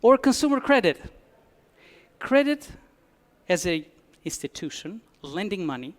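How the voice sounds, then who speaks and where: A man's voice: sits high, big pitch swings, measured pace. A man from Albania, giving a conference talk with dry humor and frequent restarts. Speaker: conference speaker. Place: conference hall.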